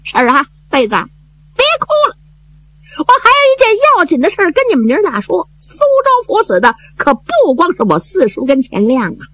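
A man's voice: extremely high pitch, sharp tone, voice pushed up, full character voice. A woman voicing a scheming young man who pleads in a fake-tearful, wailing way in a traditional told story.

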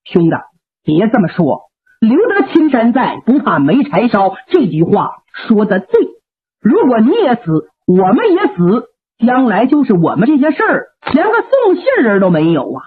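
A woman voicing a calm elder brother warrior who talks in a measured, reassuring way, heard through a tinny radio recording. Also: fairly high pitch, breathy edge. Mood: consoling.

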